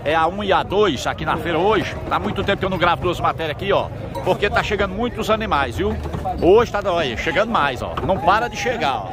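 A man's voice: high voice, animated, quick pace. A man who hosts a video. He walks through an outdoor livestock market, chatting with traders and greeting everyone in an upbeat, repetitive way. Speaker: market reporter and video host. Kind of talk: on-the-spot interviews while walking. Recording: noisy outdoor market crowd. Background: crowd chatter.

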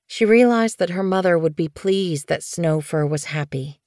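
A woman narrating scripted fiction as an audiobook reader, in a measured, expressive storytelling manner. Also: fairly low pitch, no echo, studio quality.

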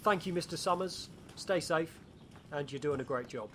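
Man acting police officer, polite, official, fairly high pitch, audio drama, light background noise. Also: moderate pace, natural voice. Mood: polite, firm.

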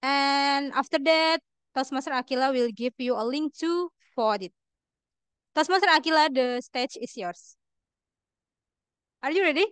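Young indonesian woman mc at a club meeting, high pitched voice, pretty dry sound. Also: measured pace, pauses often, a bit bright, dry recording. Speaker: meeting host.

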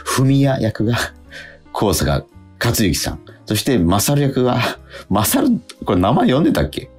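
A man's voice: medium pitch, big pitch swings, measured pace, darkish tone, soft background music. A man hosting a radio show, chatting casually as he goes through the credits.

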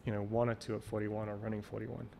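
Gravelly low-voiced man, flat delivery. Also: fast talker. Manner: rambling, restarts often, thinks aloud. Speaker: guest, champion water skier. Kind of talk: casual interview conversation. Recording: remote call recording with faint noise.

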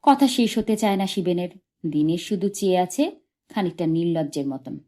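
A woman's voice: lowish voice, expressive, normal speed, warm tone. A woman narrating a short story as an audiobook reader, expressively and from a script.

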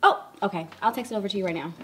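An actress playing a friendly, pushy young college student, chatty and slangy in a naturalistic web drama. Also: female voice, medium pitch, rapid-fire, a bit bright. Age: young adult. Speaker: actor in a web series. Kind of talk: naturalistic short-film acting. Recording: location sound with clear ambient noise.

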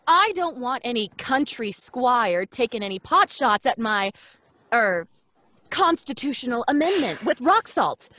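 Brash young woman, high-pitched, unhurried pace, slangy, high-spirited, old-time radio drama. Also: very animated, bright, forceful tone, exaggerated voice. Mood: brash, teasing. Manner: breezy, slangy, theatrical.

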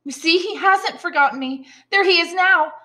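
A woman's voice: extremely high pitch, moderate pace, bright, forceful tone, voice pushed up. A woman voicing a devoted, firm young woman in love in a storybook reading, speaking earnestly and emphatically. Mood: overjoyed.

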